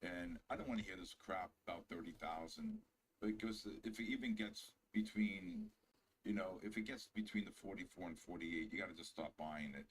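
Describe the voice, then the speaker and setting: A man's voice: sits low, normal speed, slight background noise, rough recording. A man in his sixties, a veteran guest trader chatting on a live stream, boastful and teasing. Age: 60s. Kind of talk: casual chat on a live stream.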